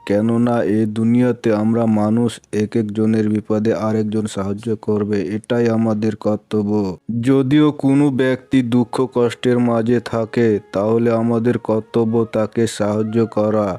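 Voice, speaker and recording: A man playing a kind, generous village gentleman who moralizes reassuringly in a cartoon story. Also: lowish voice, level tone, moderate pace, natural voice. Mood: earnest advice.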